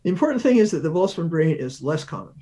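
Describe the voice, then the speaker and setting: Higher-voiced man explaining science. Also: big pitch swings, fast talker, mellow. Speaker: guest research professor, physicist.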